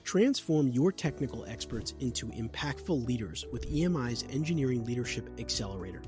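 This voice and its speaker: A man's voice: medium pitch, expressive, a little fast, a little mellow, faint music behind. A man reading a polished, scripted promotional ad. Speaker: ad announcer. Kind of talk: scripted ad read.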